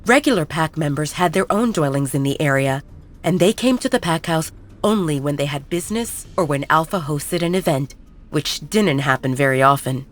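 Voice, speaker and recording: A woman narrates a story in an even, measured manner, her voice low for a woman. Soft background music plays beneath her reading. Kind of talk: scripted audiobook narration.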